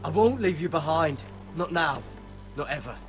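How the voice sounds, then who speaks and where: A determined young protector, voiced by an actor, who speaks in firm, resolute tones. His pitch is a little high for a man, and a game score runs clearly beneath him. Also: expressive, normal speed, music in the background, natural voice. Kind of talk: video game cutscene acting. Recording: game score playing behind.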